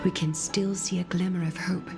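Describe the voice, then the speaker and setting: Woman acting composed female game leader, low voice, solemn, formal, measured, music behind. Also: fairly even intonation, natural voice. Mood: solemn, grave. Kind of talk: scripted video game dialogue. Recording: music under the voice.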